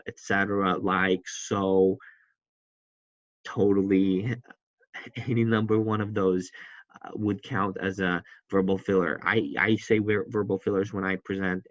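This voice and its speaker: A man's voice: lowish voice, no echo, dull audio. A man teaching public speaking in a recorded lecture, talking conversationally from a seat and often restarting his sentences. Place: seated indoors, not in a classroom.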